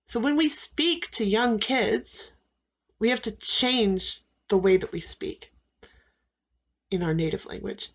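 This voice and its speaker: Slow, deliberate American woman teacher. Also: mid-range voice, expressive, fairly bright tone, husky. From United States. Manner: slow, deliberate, repeats key phrases with frequent "okay?" checks. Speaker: English teacher. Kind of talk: classroom advice talk to students.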